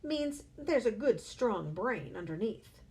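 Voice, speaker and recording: Woman putting on a loud, vain, bossy dad. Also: a little high, sing-song, slow, full character voice. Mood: boastful. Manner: loud blustering, snapping. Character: boastful brash middle-aged father, rude and vain.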